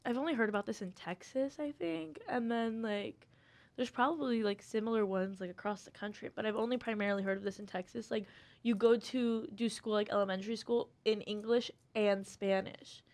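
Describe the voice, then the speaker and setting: Animated young woman hosting, higher voice. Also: fairly quick. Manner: animated, thinks aloud with many restarts. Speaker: co-host. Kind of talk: casual conversation.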